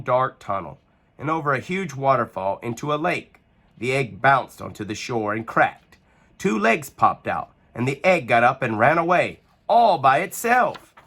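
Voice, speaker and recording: A man reading a children's picture book aloud with expressive, animated storytelling. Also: mid-range voice.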